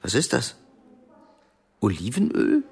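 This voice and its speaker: A man's voice: mid-range voice, huge pitch swings, takes it slowly, creaky, darkish tone, husky, full character voice. An actor playing a weary, anxious middle-aged businessman in a naturalistic radio drama, with hesitant, questioning delivery.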